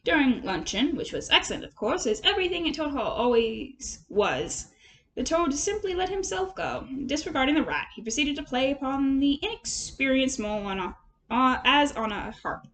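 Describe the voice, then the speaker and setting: A woman reading a storybook aloud as an amateur narrator, in an expressive storytelling style. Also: sits high, moderate pace, slight background noise, rough recording. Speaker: amateur audiobook reader.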